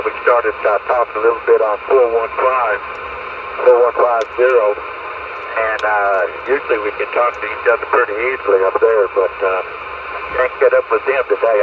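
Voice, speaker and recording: A man's voice: lowish voice, steady tone, normal speed. A man chatting casually as an operator over a CB radio transmission. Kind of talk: casual two-way radio chat. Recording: narrow-band CB radio with loud static.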